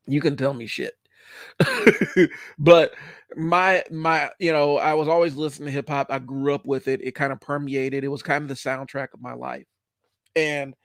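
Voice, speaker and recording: A man's voice: medium pitch, a bit bright, dry recording, studio quality. A man in his forties with the sniffles, hosting a solo stream and talking casually, with many fillers. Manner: conversational, rambling with many fillers. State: stuffy, has the sniffles. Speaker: podcast host.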